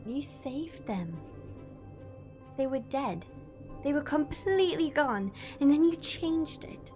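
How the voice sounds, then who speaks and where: Young female companion, played by an actress: high-pitched, homesick, earnest casual talk, audio drama, light music behind. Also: animated, takes it slowly, mellow. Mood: apologetic, longing. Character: homesick, plain-spoken young girl travelling companion.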